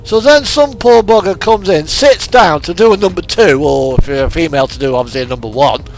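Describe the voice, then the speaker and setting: High-pitched British man rambling over music. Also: animated, fairly quick, low-quality recording. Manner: crude, jokey, chuckles often. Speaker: game streamer. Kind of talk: casual rambling chat, telling anecdotes. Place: indoors over driving game audio. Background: music and vehicle engine sounds.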